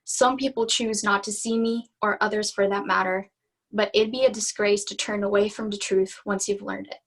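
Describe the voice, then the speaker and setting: A young woman from the Philippines, a native Tagalog speaker, delivering a prepared student presentation and poem earnestly and deliberately over a video call. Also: mid-range voice, steady tone, low-quality recording. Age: young adult.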